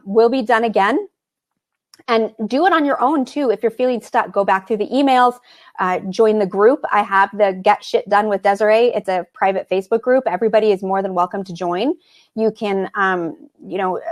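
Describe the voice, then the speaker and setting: A woman business coach hosting a live online Q&A, talking fast and encouragingly while fighting a cold. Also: medium pitch.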